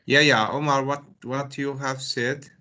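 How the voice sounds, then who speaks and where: A man lecturing a small online university class, talking in short, unhurried phrases. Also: medium pitch, little pitch movement, sharp tone.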